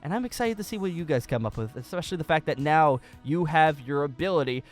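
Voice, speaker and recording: A young man giving a casual, enthusiastic video tutorial, excitable and emphatic, often repeating himself. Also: a little high, quick pace, soft background music. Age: young adult.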